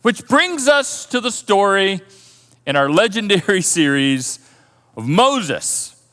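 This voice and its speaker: Male pastor, lively storytelling sermon, bright tone, long church-hall reverb. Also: high voice, slow. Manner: animated storytelling, thinks aloud with repeated words. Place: church auditorium.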